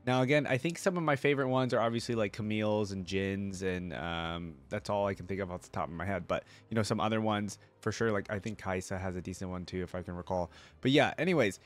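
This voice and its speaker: A man's voice: fairly low pitch, quick pace, hardly any pauses. A man hosting a reaction video, giving casual, exclamatory commentary and repeating words for emphasis. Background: music playing behind the voice.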